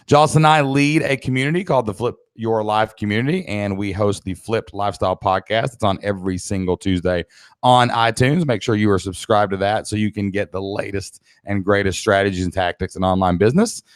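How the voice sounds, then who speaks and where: A man's voice: lowish voice, steady tone, fairly quick, dry recording, clean studio sound. A man from Kentucky with a Southern accent, presenting a live online business training as a coach in a folksy, emphatic, motivational way. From Kentucky, United States.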